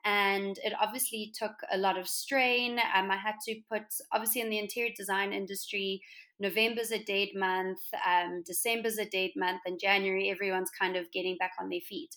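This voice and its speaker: A young woman with a South African accent talks as a podcast guest. She rambles casually and loosely, with frequent ums and self-corrections. Her pitch sits in a middle range. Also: fairly even intonation, fairly quick, fairly bright tone. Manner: chatty, many ums and restarts. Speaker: podcast guest, interior designer. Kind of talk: casual interview chat.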